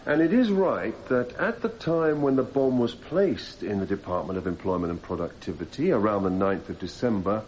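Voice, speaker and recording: An actor playing a persistent, formal defence barrister cross-examining a witness in a radio courtroom drama, putting methodical leading questions. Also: male voice, on the low side, animated, normal speed, hardly any pauses, a little mellow, natural voice. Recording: slightly muffled, noisy radio drama recording.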